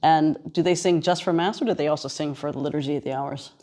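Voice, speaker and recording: A woman's voice: sits low, steady tone, fast, warm tone. A woman hosting a radio interview on location in a conversational way. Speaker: radio show host. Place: on location at a seminary.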